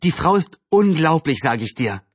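A man's voice: a little high, big pitch swings, normal speed, husky, dry recording, pitched above the performer's own voice. A man voicing a cheerful, chatty young girl with a teasing, eager manner in a storybook reading.

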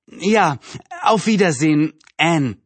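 A man voicing a prim, excitable middle-aged lady neighbour with a fussy, disapproving manner in a storybook reading. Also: fairly high pitch, slow, breathy edge, no echo, pitched above the performer's own voice, heavily acted. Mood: polite.

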